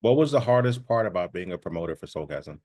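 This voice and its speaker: A man's voice: low-pitched, expressive, quick pace, dark tone. A man hosting an interview, asking brief, plain questions. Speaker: podcast host, interviewer.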